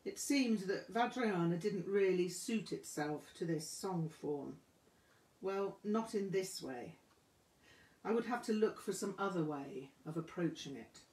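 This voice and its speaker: A woman reading a memoir aloud as scripted narration, with measured, deliberate phrasing. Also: on the low side, lively intonation, a little mellow. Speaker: audiobook reader. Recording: roomy, echoing room sound.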